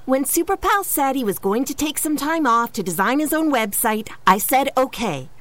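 An exasperated woman explains things in a comedic audio drama. The voice is fairly high and very lively. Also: normal speed, dry recording.

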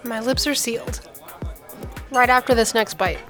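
An actress playing a down-to-earth scientist and teasing older sister in a modern audio drama, playful and probing in conversation. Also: female voice, on the high side, normal speed, music in the background, lightly acted.

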